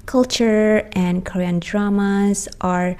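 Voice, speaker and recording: Young woman, Indonesian accent, unhurried and thoughtful, mid-pitched, speaking to camera in a small room. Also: steady tone, a little mellow, clean tone. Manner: slow, careful, thoughtful with frequent pauses and restarts. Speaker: language learning vlogger. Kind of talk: casual talk to camera.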